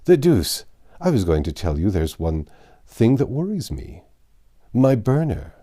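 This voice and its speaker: Here is a talkative, trusting French manservant from a storybook, acted out by a man. He is proud and exclaims emphatically, with a very lively swing in pitch and a soft-edged, mellow tone. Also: a little slow. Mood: worried. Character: voluble, proud, trusting male French servant.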